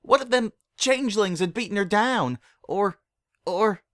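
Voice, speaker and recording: Storybook role: tearful, stubborn farm mare, acted by a man, pitched up, close dry sound. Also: animated, measured pace, a bit bright, no room sound. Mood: anguished. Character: distressed young country mare, stubborn and tearful.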